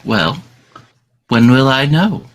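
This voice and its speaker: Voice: mid-range voice, lively intonation, takes it slowly. An adult voicing a worried little boy at bedtime in a live old-time radio play reading. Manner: childlike, worried and questioning.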